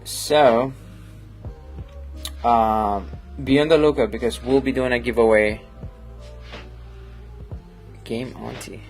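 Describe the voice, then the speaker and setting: A Colombian man, Spanish his first language, talks slowly with a low, gravelly voice. He rambles in a friendly way, full of fillers, as he edits at his desk. Soft music plays in the background. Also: steady tone. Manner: rambling and friendly, many fillers, reads chat aloud. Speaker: photographer hosting a live editing stream. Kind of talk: casual live-stream chat while editing.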